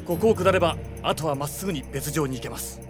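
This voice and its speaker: An actor playing a deferential soldier who serves as guide in a Japanese radio drama, polite and tentative. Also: male voice, fairly high pitch, fast talker, music in the background, natural voice. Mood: hesitant, helpful. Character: hesitant, deferential male soldier acting as guide.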